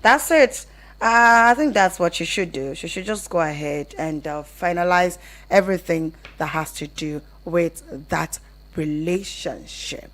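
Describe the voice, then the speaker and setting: A Nigerian woman with a fairly low voice hosts a radio advice show. She speaks conversationally, explaining and rephrasing as she addresses listeners and callers. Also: unhurried, fairly bright tone. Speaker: radio call-in show host.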